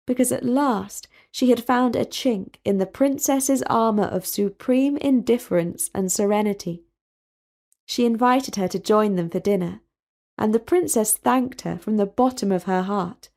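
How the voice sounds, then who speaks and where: Slow-paced woman storyteller. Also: mid-range voice, a little mellow. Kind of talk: scripted story narration.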